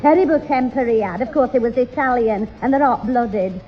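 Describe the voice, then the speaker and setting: A woman's voice: a little high, fairly quick, soft background music, lightly acted. A woman playing a fussing, man-hungry widowed neighbour in a 1960s radio sitcom. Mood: chatty. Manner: coaxing, baby-talking, gushing. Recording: dull 1960s radio recording.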